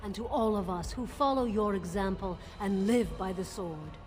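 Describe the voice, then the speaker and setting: Woman as a deferential female warrior noble, mid-pitched. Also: normal speed, darkish tone, natural voice. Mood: admiring. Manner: formal, deferential. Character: respectful female dwarf noble warrior addressing royalty. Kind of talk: video game voice acting.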